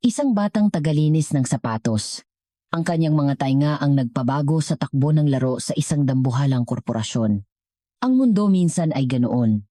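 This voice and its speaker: Female narrator for dramatic audio stories. Deep register, soft dark tone, dry recording. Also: lively intonation, moderate pace, no echo. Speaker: storyteller and channel narrator. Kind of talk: scripted dramatic story narration.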